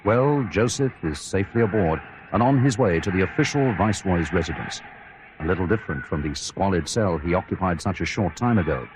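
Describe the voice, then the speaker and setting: Low-voiced actor as male outside-broadcast radio reporter. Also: animated, brisk, noisy background. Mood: composed, ceremonial. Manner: formal, descriptive. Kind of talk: live radio news commentary.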